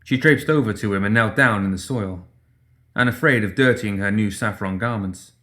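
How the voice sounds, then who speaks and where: A male narrator with a fairly low voice reads a dramatic short story. His delivery is scripted storytelling, as in an audiobook. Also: moderate pace, fairly bright tone.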